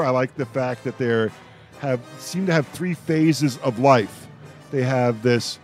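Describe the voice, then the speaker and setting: Man chatting casually on a radio roundtable. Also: mid-range voice. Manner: rambling, halting, often repeats words. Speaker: radio host of a roundtable discussion. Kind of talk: casual fan discussion.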